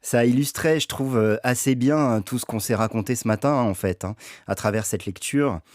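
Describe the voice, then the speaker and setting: A man's voice: medium pitch, moderate pace, dry recording, clean studio sound. A man hosting a livestream reading session, talking casually with many hesitations and restarts. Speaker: stream host reading a book aloud.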